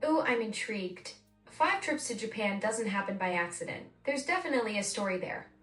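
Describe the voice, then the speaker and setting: A woman's voice: mid-range voice, animated, brisk, a bit bright, recorded from a distance, soft background music. A synthetic woman's voice acting as an AI assistant in casual conversation, eager and full of praise. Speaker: AI voice assistant co-host. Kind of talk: conversational chat. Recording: roomy voice from off the mic.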